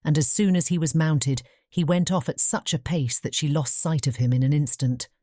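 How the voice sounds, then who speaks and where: A woman's voice: sits low, moderate pace, mellow, dry recording, clean studio sound. A woman narrating a fairy tale in a scripted bedtime audiobook style.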